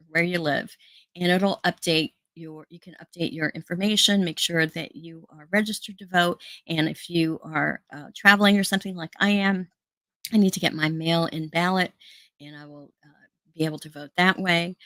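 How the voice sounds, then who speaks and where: Chatty, earnest woman with a low voice. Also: moderate pace, a bit bright, dry recording. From United States. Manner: chatty, earnest, with many fillers and restarts. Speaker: host. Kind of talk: casual talk to viewers.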